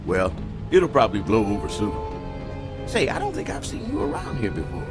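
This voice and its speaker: A man's voice: fairly low pitch, wildly swooping pitch, normal speed, over music. An actor playing a folksy, nosy small-town male taxi driver in a radio drama. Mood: chatty, prying. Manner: folksy, talkative, prying.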